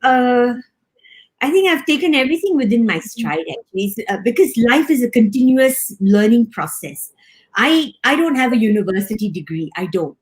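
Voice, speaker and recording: A woman's voice: a little high, sing-song, moderate pace. A woman in her fifties from Singapore, a hospital director, talking casually and chattily in an interview, with many restarts and frequent laughs.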